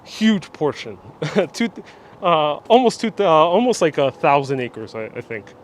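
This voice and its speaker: A man's voice: fairly high pitch, sing-song, a little slow, a little hiss or hum. A man from Puerto Rico hosting a casual live-streamed tour of a sculpture park, chatting and reading viewer comments aloud. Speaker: vlog host. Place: outdoors in a large sculpture park. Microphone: phone on gimbal with wireless mic.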